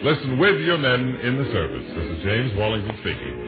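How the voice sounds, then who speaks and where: A man's voice: medium pitch, wildly swooping pitch, fairly quick. A man announcing in the scripted style of a 1940s network radio show. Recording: dull vintage radio, music behind.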